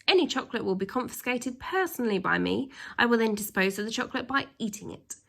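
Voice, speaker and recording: Female reader as male school tyrant for a storybook: pompous, stern, creaky, hammering each rule with drawn-out emphasis. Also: mid-range voice, a bit bright. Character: pompous tyrannical male headmaster reading out his rules. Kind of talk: children's storybook read-aloud.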